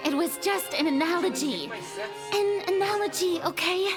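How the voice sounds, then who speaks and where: A woman's voice: very high-pitched, normal speed. A woman voicing a proud, anxious young god of justice in a video game, with a theatrical, emphatic delivery. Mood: insistent, defensive. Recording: loud game music behind the voice.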